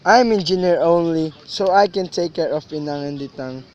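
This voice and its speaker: A man's voice: a little high, normal speed, a little mellow, highs cut off, close to an everyday voice. A young man acting an earnest, teasing provincial engineer in a student radio drama. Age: young adult. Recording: noisy amateur recording.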